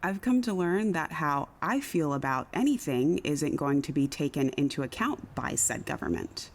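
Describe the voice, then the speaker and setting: A woman plays a composed government insider in an audio drama. Her voice sits low for a woman, with a dry, cynical delivery that stays composed. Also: lively intonation, moderate pace. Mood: resigned.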